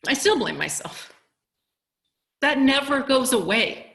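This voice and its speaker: A woman playing a grieving, guilt-ridden mother in a halting, emotional theatrical monologue with heavy pauses. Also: high-pitched, big pitch swings, vocal fry, a bit bright, full character voice. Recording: echoey room over video call.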